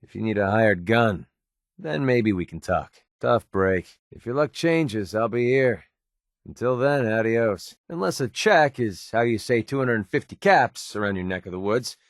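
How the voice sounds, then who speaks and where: An actor playing a cynical, wisecracking young mercenary in a video game, with a sardonic, casual, streetwise delivery. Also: male voice, medium pitch, dry recording, clean studio sound, natural voice. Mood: dismissive, sardonic. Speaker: video game companion character. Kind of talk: video game voice acting, short scripted barks.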